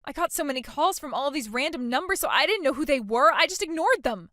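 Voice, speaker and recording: Woman acting high, whiny, entitled bride. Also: lively intonation, quick pace, bright, forceful tone, no room sound, studio quality. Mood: sulky disbelief. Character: self-centered entitled young bride, whiny and oblivious. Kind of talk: dramatized phone-call story dialogue.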